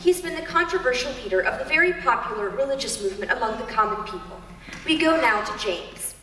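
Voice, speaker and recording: A woman acting a formal news anchor in a drama. Her high, bright voice announces from a distance in a reverberant hall, with faint music. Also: expressive, quick pace, slight background noise. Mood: urgent, formal. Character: brisk female TV news anchor. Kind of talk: news bulletin within a church drama.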